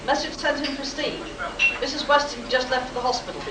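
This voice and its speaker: Woman as a high-voiced control-room assistant, distant. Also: fairly even intonation, fairly quick, close to an everyday voice. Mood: concerned, formal. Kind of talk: vintage science-fiction radio drama acting. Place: convention hall, live stage performance. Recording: distant mic in echoey, noisy hall.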